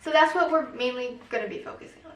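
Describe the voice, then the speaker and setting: A young woman chatting casually and quickly, with her speech full of "like". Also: sits high, a bit bright, reverberant, distant mic, lo-fi sound. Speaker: co-host, daughter. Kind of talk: casual chat.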